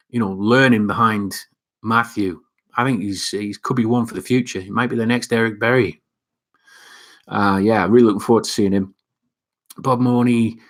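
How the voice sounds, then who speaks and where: Young male host, Northeast England accent, lowish pitch, loose rambling delivery full of ums. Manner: rambling, many fillers and restarts. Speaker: livestream host reading chat. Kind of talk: casual live chat.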